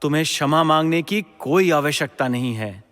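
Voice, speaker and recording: An actor playing a benevolent, dignified king in a mythological audio drama, with a regal, measured manner. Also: fairly high pitch, lively intonation, a bit bright. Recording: long hall-like reverb.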